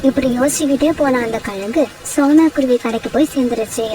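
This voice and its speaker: A woman's voice: high voice, quick pace. A woman reading a scripted children's story as its narrator. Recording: distant mic, clear background noise.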